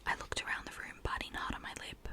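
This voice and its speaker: A woman reads fiction in a breathy whisper, ASMR style. She narrates a first-person teen story, keeping her voice hushed from phrase to phrase. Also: fast talker. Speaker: reader of a story.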